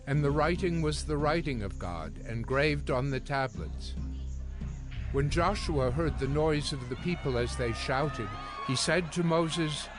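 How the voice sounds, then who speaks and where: Higher-pitched male scripture narrator. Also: measured pace. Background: music.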